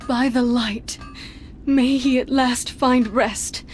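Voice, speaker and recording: A woman playing a tender, grieving young sorceress in a fantasy game, with halting, emotional phrasing. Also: high-pitched, fairly even intonation, faint music behind. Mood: tearful hope. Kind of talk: fantasy game cutscene acting.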